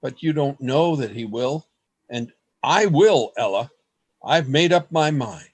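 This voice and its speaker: An actor in a radio play playing an earnest, desperate man in love, with a husky voice and a dramatic, pleading delivery. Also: medium pitch, expressive, unhurried. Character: anguished lovesick man, earnest, desperate, hiding among others.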